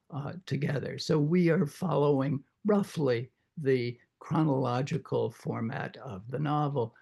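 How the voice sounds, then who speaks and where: An older man with a croaky voice after throat surgery, lecturing informally to a reading group and pausing often with many uhs and restarts. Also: mid-range voice, warm tone. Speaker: literature professor leading a reading group.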